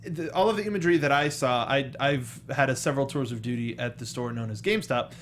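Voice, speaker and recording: A man's voice: medium pitch, fast talker, fairly bright tone, a little hiss or hum. An American man hosting an unscripted film review chat, often repeating and restarting his words. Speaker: talk show host.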